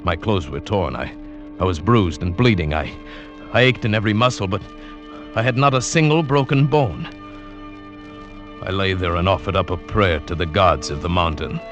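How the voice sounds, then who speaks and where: An actor narrating as a climber who survived a great fall, telling it dramatically in first person in an old radio drama, with music behind him. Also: male voice, deep voice, normal speed, natural voice. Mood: tense. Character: adult male climber recounting his fall. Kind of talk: 1940s radio acting.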